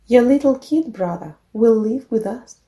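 A woman's voice: fairly high pitch, lively intonation, normal speed, dark tone, close to an everyday voice. A woman voicing an earnest, kind-hearted young merchant in a fairy tale, speaking directly.

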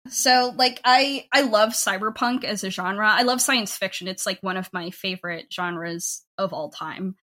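A young adult woman talking casually and emphatically in an interview as a podcast creator, with an informal, animated way of speaking. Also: mid-range voice, unhurried, few pauses. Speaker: podcast network head and audio drama writer.

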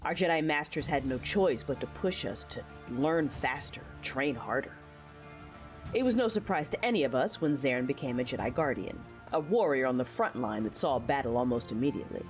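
A woman's voice: rumbling low, expressive, a little fast, soft background music. A woman playing a reflective, war-weary young female knight, narrating her memories earnestly in an audio drama. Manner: earnest, reminiscing.

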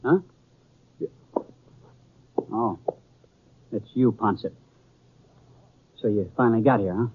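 An actor playing a curt, resentful small-town American newspaper editor in a 1950s radio western. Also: male voice, lowish voice, takes it slowly, pauses often, breathy edge. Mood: sullen, resentful. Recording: dull 1950s radio recording.

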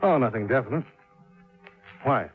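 A man's voice: mid-range voice, expressive, moderate pace, faint music behind, natural voice. An actor playing a cool, watchful male investigator, narrating and talking tersely in 1950s radio detective style. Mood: cool, guarded. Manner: terse, matter-of-fact, unruffled. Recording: muffled 1950s radio recording.

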